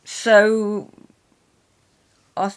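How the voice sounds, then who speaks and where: A woman's voice: mid-range voice, completely flat delivery, drawn-out words, clean tone. A British woman chatting casually about literature as a host, with a wry, opinionated way of talking. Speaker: host of a poetry reading and book chat.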